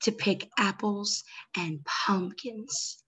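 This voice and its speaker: Young adult female story time host, medium pitch, expressive read-aloud delivery aimed at small children. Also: very slow, breathy edge.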